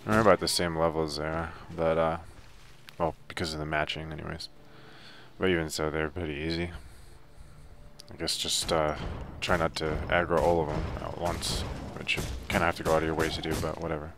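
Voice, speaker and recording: Very deep-voiced man, casual game commentary. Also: little pitch movement, brisk, over music. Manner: laid-back, offhand. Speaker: gameplay guide narrator.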